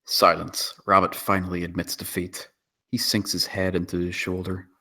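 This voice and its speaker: A man's voice: deep voice, level tone, normal speed, sharp tone. A man narrating stage directions in a dramatic screenplay table read.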